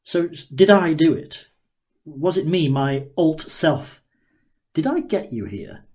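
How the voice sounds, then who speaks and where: Man acting an excitable, sneering inventor. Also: fairly high pitch, takes it slowly, pitched above the performer's own voice, exaggerated voice. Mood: excited, triumphant. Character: excitable, sneering, slightly unhinged male inventor. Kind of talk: audiobook character dialogue.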